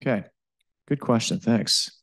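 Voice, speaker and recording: A man who directs innovation at a utility, answering audience questions at a live event, talking haltingly with many fillers, restarts and a habitual "okay?". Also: lowish voice, a bit bright, dry recording, studio quality. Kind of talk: live presentation Q&A.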